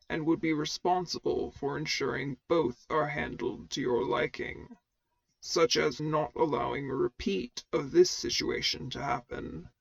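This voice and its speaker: A woman voicing a stern, commanding male mentor in armor, with deep gravelly tones and formal, measured speech. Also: full character voice, low-quality recording.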